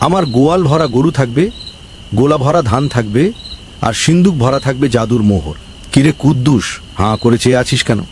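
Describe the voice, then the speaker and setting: A man's voice: medium pitch, expressive, brisk, darkish tone, close to an everyday voice. Man acting a greedy village boss, noisy track. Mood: pompous, greedy. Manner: pompous, exclamatory, pleading when frightened. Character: greedy, bossy village council member, cowardly when threatened. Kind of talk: melodramatic comic cartoon acting.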